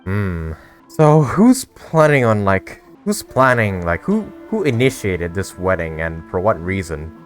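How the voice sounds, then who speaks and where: A man's voice: low voice, sing-song, a little slow. A man giving casual playthrough commentary on a game, hesitantly thinking aloud as he puzzles out the story. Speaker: gamer reacting to a game story. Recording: faint game music behind the voice.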